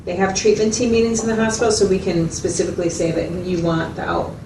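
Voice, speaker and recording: A woman's voice: medium pitch, fast talker, lightly acted. A woman playing a patient, methodical mental health clinician in a role-played consultation, explaining and checking understanding with questions. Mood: patient, explanatory. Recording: echoey, distant mic, noisy room.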